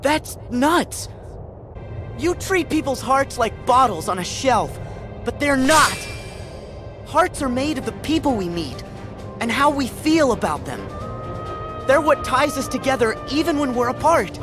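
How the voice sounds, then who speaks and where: Earnest teenage boy hero, very expressive. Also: very high-pitched, unhurried, pauses often, heavily acted. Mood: indignant. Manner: impassioned, sincere. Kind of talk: video game cutscene acting. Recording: clear music behind the voice.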